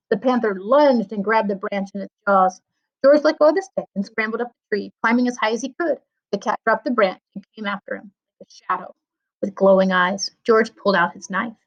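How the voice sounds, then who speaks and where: A woman, a school librarian, reading a children's story aloud with expressive storytelling. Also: mid-range voice, dull audio.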